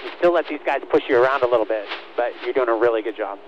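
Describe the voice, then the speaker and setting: A man's voice: medium pitch, quick pace, lo-fi sound, noisy background. A man working as a race spotter, speaking in short, repeated commands over a team radio. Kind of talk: urgent radio spotting calls to a driver.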